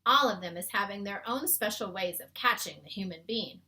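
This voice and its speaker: A woman's voice: mid-range voice, animated, normal speed, sharp tone. A woman voicing a big, friendly male giant in a children's storybook, earnest and emphatic, with muddled homespun grammar. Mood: eager explaining. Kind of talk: children's storybook read-aloud.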